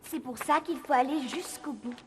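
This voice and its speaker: A bold young cartoon girl, talks in a high, brisk voice. She is bossy and determined, an adventurer who takes the lead. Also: a bit bright, slight background noise. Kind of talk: French cartoon dubbing.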